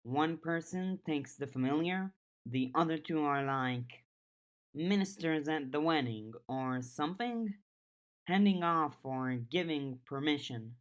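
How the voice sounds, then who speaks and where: A serious and practical teenage girl in an audiobook, voiced by a woman. She sounds caring, with a low voice and slow, measured lines. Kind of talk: audiobook character dialogue.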